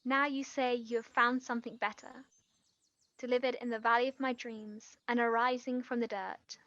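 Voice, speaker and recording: High-voiced, tender young woman, played by an actress. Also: flat delivery, fairly bright tone, no echo, lo-fi sound, natural voice. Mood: tender reciting. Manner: halting, trailing off mid-sentence. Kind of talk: stage play acting, quiet two-hander.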